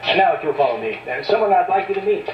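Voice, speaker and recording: A man acting in a radio drama as a smooth, officious, formally polite safari company salesman. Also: high-pitched, expressive, fast talker, far from the mic, a little hiss or hum. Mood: glib. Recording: roomy, muffled playback from a radio set.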